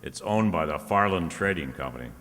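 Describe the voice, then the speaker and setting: A hard-boiled, wisecracking male private investigator from a radio play, acted by a man. His voice is low and forceful, his talk clipped and slangy. It rings in a reverberant hall. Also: expressive, a little fast. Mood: urgent, wry. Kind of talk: old-time radio detective drama acting. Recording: reverberant hall, stage-like sound.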